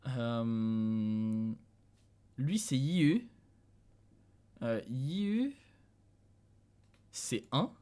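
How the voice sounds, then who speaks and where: A man's voice: medium pitch, very slow, pauses often, mellow, clear, resonant tone. A young man from France streaming from a dim room at home, thinking aloud in casual commentary, chuckling and talking to his chat.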